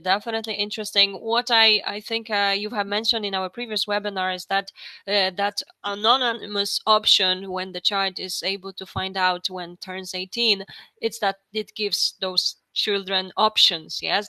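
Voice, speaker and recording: Woman host on a webinar call, bright sharp voice, dry, quick polite thanks. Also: medium pitch, no room sound. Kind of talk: moderating a webinar.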